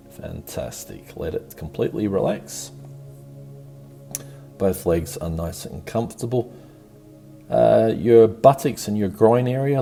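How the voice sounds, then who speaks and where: A man with a deep, mellow voice guides a meditation over background music. He gives slow, soothing instructions in a gentle and encouraging way, never hurried. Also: vocal fry.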